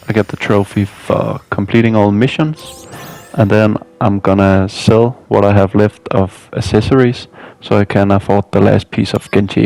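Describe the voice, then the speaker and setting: A man with a low, gravelly voice delivers a casual video game walkthrough. He narrates from a loose script and drops in dry, weary asides. Music plays behind him. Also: a little mellow.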